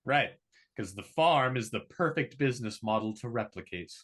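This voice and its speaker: A man's voice: mid-range voice, lively intonation, normal speed, a bit bright. A man reading the part of an awkward, privileged young heir in a drama table read, talking in a casual, rambling way. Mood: defensive.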